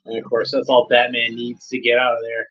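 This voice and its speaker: A man's voice: medium pitch, monotone, a little fast, fairly bright tone, husky, lo-fi sound. A man giving casual running commentary while watching an episode, chatty and fond, pointing out details as they come up.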